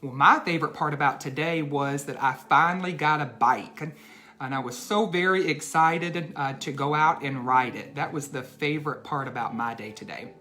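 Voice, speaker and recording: Guy teacher doing a bedtime read aloud for kids, talks right to them, really deliberate emphasis, faint music behind. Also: on the high side, bright, forceful tone. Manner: deliberate emphatic phrasing, addressing children directly. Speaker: educator hosting a read-aloud for children. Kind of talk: children's bedtime read-aloud and talk to young listeners.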